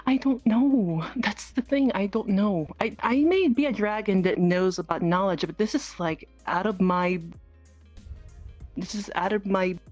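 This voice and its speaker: A woman's voice: medium pitch, measured pace, a little mellow, close to an everyday voice. A woman playing an earnest, knowledgeable dragon who gives friendly advice in a hesitant, rambling, repetitive way in improvised online roleplay. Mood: concerned, puzzled. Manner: hesitant, rambling, repeats phrases. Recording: music behind the voice.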